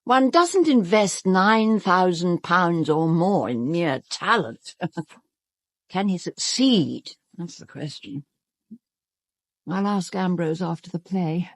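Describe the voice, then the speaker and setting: An actress playing a vain, self-absorbed stage star with a British accent, haughty and melodramatic in a radio drama. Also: female voice, on the low side, animated, takes it slowly, no room sound, exaggerated voice.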